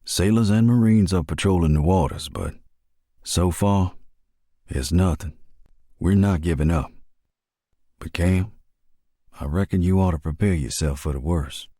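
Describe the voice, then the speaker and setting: Man acting loyal military comrade, blunt, folksy, deep mellow voice, dry studio sound. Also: measured pace, pauses often, no room sound. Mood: grave. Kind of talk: dramatic animatic film dialogue.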